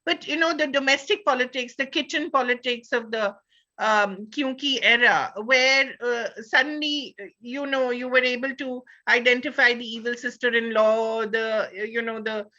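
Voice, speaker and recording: A woman with an Indian accent speaking as a panelist in an animated discussion, peppering her talk with "you know". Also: on the high side, moderate pace, dull audio.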